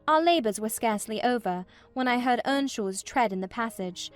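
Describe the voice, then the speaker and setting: A vexed young gentlewoman, played by a woman, tells her wretched tale as if from a letter. Her voice sits fairly high, the storytelling is measured and literary, and music plays faintly behind. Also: expressive, close to an everyday voice. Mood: rueful recounting. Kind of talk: dramatized audiobook narration.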